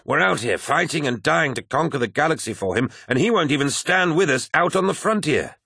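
A man's voice: fairly high pitch, animated, fairly quick, pushed and bright, slightly rough, no room sound, studio quality, voice pushed up, exaggerated voice. A man voicing a huge, hot-tempered warrior captain in a science-fiction audiobook, agitated and snapping with anguish. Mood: raging, bitter.

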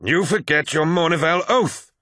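A man voicing a forceful, accusing warrior captain in an audiobook, declamatory and challenging. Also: fairly high pitch, moderate pace, dry recording, clean studio sound, voice pushed up. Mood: outraged.

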